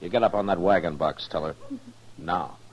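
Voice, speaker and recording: An actor playing a stern, laconic frontier marshal in a radio western, with an American accent and terse authority. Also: male voice, low voice, moderate pace, crowd noise, close to an everyday voice. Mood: commanding. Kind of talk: mid-century radio western acting. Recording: muffled old radio recording with background voices.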